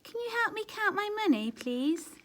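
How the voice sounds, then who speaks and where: A woman voicing a polite, gently hopeful male wolf from a children's storybook, pleading and put-upon. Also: very high voice, sing-song, normal speed, voice pushed up. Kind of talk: children's storybook character reading.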